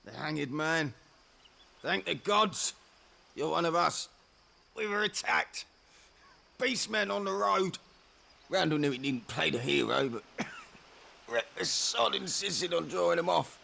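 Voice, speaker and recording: Gravelly, desperate wounded man. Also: on the high side, expressive, takes it slowly, pauses often, sharp tone, heavily acted. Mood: shaken, pleading. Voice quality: heavily gravelly, strained. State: hurt, coughing. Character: frightened, wounded man pleading for his companion. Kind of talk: dramatic video game acting.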